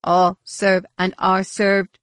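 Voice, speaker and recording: A woman's voice: mid-range voice, completely flat delivery, slow, fairly bright tone, dry recording, clean studio sound. A woman voicing a crowd of alien devotees in an audiobook, in a solemn chant. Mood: reverent chanting.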